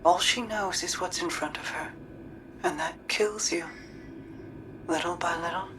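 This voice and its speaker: An actress playing a calm, wise, compassionate confidant in a video game, gently counselling, somewhat distant with music behind. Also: female voice, on the low side, a little slow, background noise, natural voice. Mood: compassionate, firm. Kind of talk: video game cutscene acting.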